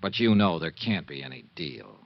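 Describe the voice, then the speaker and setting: A wry hard-boiled investigator. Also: male voice, low voice, animated, moderate pace. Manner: dry, wisecracking. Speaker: lead and narrator. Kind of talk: 1950s radio drama acting and narration. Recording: dull old radio broadcast.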